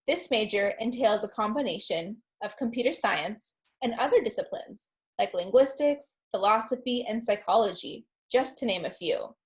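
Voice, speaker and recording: A woman's voice: fairly high pitch, expressive, normal speed, few pauses. Young woman hosting an interview. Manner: earnest, with likes in questions. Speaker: interview show host. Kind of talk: scripted introduction and interview questions.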